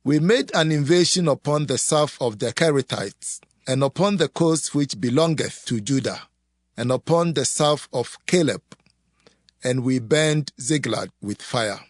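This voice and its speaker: A man's voice: medium pitch, expressive, unhurried, dry recording, studio quality, pitched above the performer's own voice. A man voicing a frightened young captive servant, earnest and pleading, in a dramatized scripture reading. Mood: grim, confessing.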